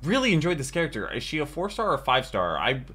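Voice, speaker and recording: A man's voice: a little high, wildly swooping pitch, fast, sharp tone, husky. An American man in his thirties giving casual, chatty, enthusiastic reaction commentary as a video host at home. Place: small furnished home room.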